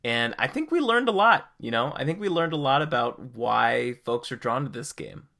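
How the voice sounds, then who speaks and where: A man's voice: mid-range voice, big pitch swings, moderate pace, gravelly, pushed and bright. A man hosting a podcast in a casual, conversational style. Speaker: podcast host.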